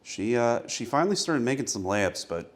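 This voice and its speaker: A man chatting in a relaxed, dry way with his partner on a vlog. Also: mid-range voice, moderate pace. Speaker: vlogger's partner. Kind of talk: casual chat.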